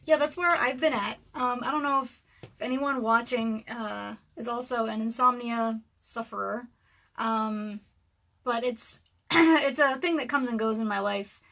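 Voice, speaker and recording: A woman in her thirties with a scratchy voice, rambling casually on a livestream from her dark room at home, with lots of ums and little laughs. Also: a little high, unhurried, gravelly. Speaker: author and livestream host. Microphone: webcam livestream.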